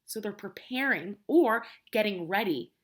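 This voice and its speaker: A woman teacher reading a picture book aloud to young children, explaining simply and prompting them with questions in an expressive way. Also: medium pitch, a little slow. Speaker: preschool teacher.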